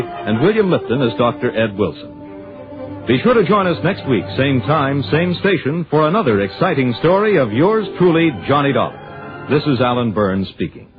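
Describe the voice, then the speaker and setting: A man's voice: medium pitch, big pitch swings, normal speed. A man with an American accent reading scripted credits as a formal, emphatic announcer in 1960s radio style. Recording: dull vintage radio, loud music behind. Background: music.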